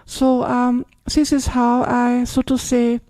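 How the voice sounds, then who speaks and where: A woman's voice: fairly high pitch, completely flat delivery, slow pace, warm tone, no echo. An older woman, a Buddhist nun from Austria with an Austrian German accent, giving a chatty dharma talk that keeps checking in with "yeah?" and "yes?".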